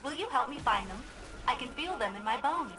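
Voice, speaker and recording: A woman's voice: high voice, animated, a little fast, noisy background. An actress playing a grave woman guide in a sci-fi shooter game, asking for help. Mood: grave, urgent. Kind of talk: video game voice acting.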